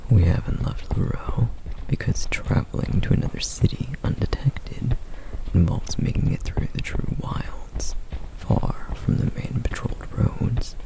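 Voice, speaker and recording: A man voicing a protective, duty-bound male flame demon in a whispered ASMR roleplay, hushed, measured and dryly sardonic. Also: mid-range voice, close to an everyday voice, rough recording. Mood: guarded.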